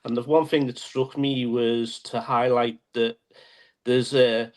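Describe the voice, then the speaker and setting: A man explaining things to a podcast in a measured way, often saying "obviously". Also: medium pitch, level tone, darkish tone. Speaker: guest. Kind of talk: casual podcast chat.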